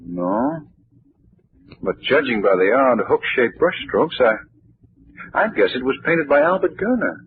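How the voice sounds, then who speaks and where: An actor playing an urbane, knowledgeable young gentleman, cultured and reflective, in 1940s radio drama. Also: medium pitch, very animated, a little slow, pauses often, slightly rough, heavily acted. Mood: intrigued. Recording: muffled, tinny 1940s radio recording.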